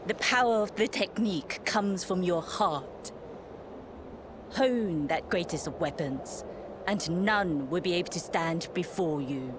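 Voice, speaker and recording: An actress playing a stern, imperious martial arts master in a video game, haughty and commanding, grudging with praise. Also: female voice, fairly low pitch, expressive, a little slow, noisy background. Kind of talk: dramatic video game voice acting. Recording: loud music behind the voice. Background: loud game music.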